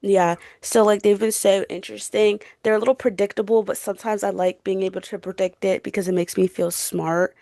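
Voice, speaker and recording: A woman's voice: medium pitch, flat delivery, a little fast, hardly any pauses, dark tone, no echo, studio quality. A young woman in a casual book chat as a podcast co-host, gushing, slangy and playful.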